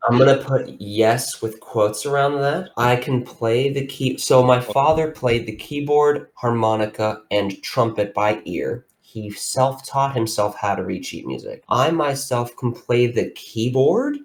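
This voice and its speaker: A young man co-hosting a casual podcast, telling stories in a rambling, animated way with many restarts. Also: medium pitch, unhurried, few pauses. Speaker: podcast co-host. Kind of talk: casual chat, storytelling.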